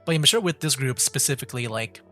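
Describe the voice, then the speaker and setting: A man streaming a video game, commenting casually and thinking out loud as he plays. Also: mid-range voice, lively intonation, fast talker, faint music behind. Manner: chatty, thinking aloud. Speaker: gaming streamer.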